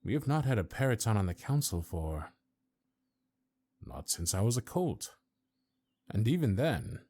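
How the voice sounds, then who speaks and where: Stern old fantasy high lord played by a man, very dark mellow voice, sweeping intonation, formal and haughty. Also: slightly rough, full character voice. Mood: wry. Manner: formal, archaic, haughty and deliberate. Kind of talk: fantasy audio roleplay acting.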